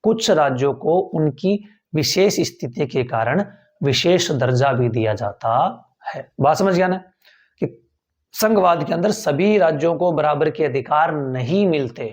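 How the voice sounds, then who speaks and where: A man's voice: on the high side, expressive, a little slow. A man teaches a civics class. He goes over each point more than once and keeps checking whether his students follow. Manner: repeats key points, quizzes listeners with rhetorical questions. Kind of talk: classroom lecture for tenth grade.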